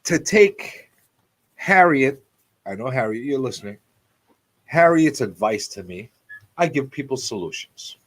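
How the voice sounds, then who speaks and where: A man's voice: mid-range voice, very animated, measured pace, long pauses, slight background noise. A man in his forties hosting a casual live talk show, repeating phrases for emphasis as he talks off the cuff. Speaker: radio show host.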